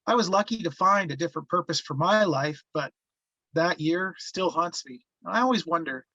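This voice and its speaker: A man reading a haunted, reflective first-person narrator looking back on his youth, halting in places, on slightly muffled audio. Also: a little high, lively intonation, bright, forceful tone, dry recording, exaggerated voice. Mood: reflective, regretful. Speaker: actor reading the narrator at a table read.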